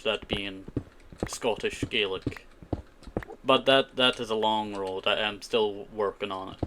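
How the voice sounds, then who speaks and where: Man, lowish voice, casual game streamer, rambling talk, clear keyboard typing in the background. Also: noisy background. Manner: rambling, full of "you know" and "I tell you". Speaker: streamer playing a modded survival game. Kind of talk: casual live-stream chat while playing, answering chat. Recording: keyboard clicks and typing behind the voice.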